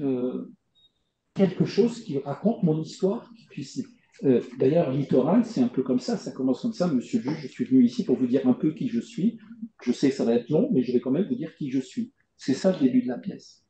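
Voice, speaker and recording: A middle-aged man answering interview questions at length, halting with many fillers and repeated words. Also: fairly high pitch, expressive, warm tone, a little hiss or hum. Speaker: playwright guest. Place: stage event before audience. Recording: dull lo-fi roomy recording.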